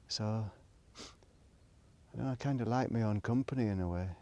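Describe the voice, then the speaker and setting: Low-pitched, musing man. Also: measured pace, darkish tone. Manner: hesitant, thinking aloud, trailing off. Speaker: solo video blogger. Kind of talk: rambling, reflective casual monologue.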